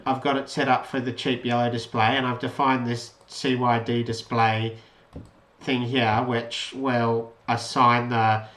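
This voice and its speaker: A man's voice: medium pitch, a bit bright. A man giving an informal, unscripted tutorial walkthrough of an electronics project, explaining step by step and rephrasing as he goes. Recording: roomy, echoey voice pickup.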